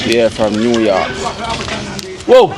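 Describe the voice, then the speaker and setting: A man's voice: lowish voice, animated, takes it slowly, heavy background noise. A man with a Jamaican accent hosting a casual street vlog, easygoing and laughing often. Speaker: vlogger host.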